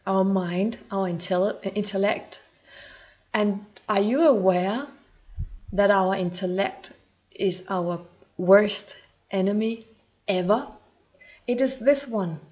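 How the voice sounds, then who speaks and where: A woman's voice: medium pitch, a little mellow. A woman from Denmark with a Danish accent, speaking English as a second language and talking earnestly to camera as a spiritual coach, sometimes searching for words.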